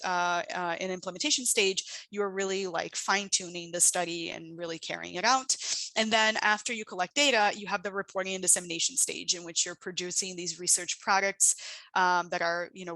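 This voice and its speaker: A woman's voice: fairly low pitch, bright, forceful tone, no echo, low-quality recording. A woman whose first language is Portuguese, presenting her work as a researcher in a conversational, explanatory way. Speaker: international development researcher, guest speaker. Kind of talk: presentation talk with slides.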